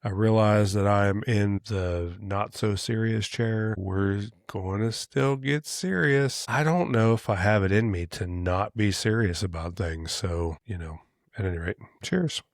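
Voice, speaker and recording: A man talks through a casual monologue as the host of his own show. His voice sits very low, and he speaks in an earnest, conversational way, often restarting his sentences. The sound is dry, with no room echo. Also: measured pace. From United States. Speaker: podcast host.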